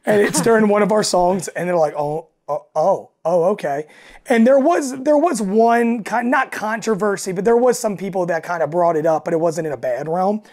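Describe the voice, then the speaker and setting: A man with a raspy voice, talking casually as a podcast guest and telling stories with acted-out asides. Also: high-pitched, moderate pace, a little mellow. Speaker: guest, alternative country singer and frontman.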